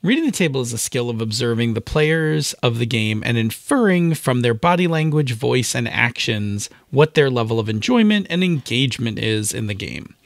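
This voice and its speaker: A man's voice: mid-range voice, expressive, normal speed, vocal fry, few pauses, dry recording. A man co-hosting a podcast, explaining things methodically and spelling out definitions into a close microphone. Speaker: podcast co-host.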